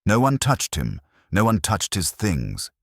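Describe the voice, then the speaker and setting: A man's voice: on the low side, wildly swooping pitch, a little slow, no room sound, studio quality, voice pushed up, full character voice. A man voicing a retired subway inspector in a mystery audiobook, precise, clipped and matter-of-fact. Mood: emphatic.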